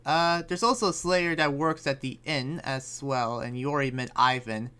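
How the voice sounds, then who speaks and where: A man's voice: medium pitch, normal speed, pushed and bright, clear, resonant tone, natural voice. A man playing an earnest, cautious young demon slayer in an improvised anime game roleplay, talking in a hesitant, rambling way. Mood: earnest, thoughtful. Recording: music playing behind voice.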